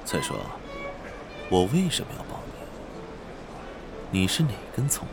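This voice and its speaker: A man's voice: medium pitch, huge pitch swings, a little slow, over music. A man acting a haughty, hot-tempered, protective rich young man in a radio drama, scornful and sarcastic.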